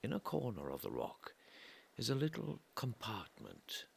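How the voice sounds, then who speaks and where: A man reading an atmospheric literary story in a measured way, pausing between short phrases, with a gravelly voice that often turns breathy and trails off. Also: mid-range voice, wildly swooping pitch, a little hiss or hum. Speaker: reader of a literary audio story. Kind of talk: slow atmospheric literary narration.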